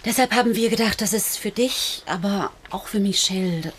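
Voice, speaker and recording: Actress as imperious, cutting wife, faint noise behind. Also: female voice, medium pitch, normal speed, close to an everyday voice. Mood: firm, persuasive. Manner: curt, demanding. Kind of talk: radio drama dialogue.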